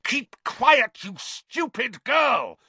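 A man's voice: on the low side, very animated, measured pace, fairly bright tone, no echo, full character voice. A man voicing a vindictive, fanatical wizard professor in an audiobook, sneering, hissing and spitting his words. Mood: enraged.

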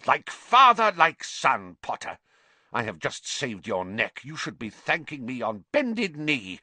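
Storybook villain voiced by a man: fanatical, spiteful professor, hissing and spitting, very animated pitch, close dry sound. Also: unhurried, slightly rough, no room sound, full character voice. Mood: deranged fury. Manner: spitting, hissing, sneering, erupting into shrieks. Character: vindictive, fanatical adult male wizard professor, sneering.

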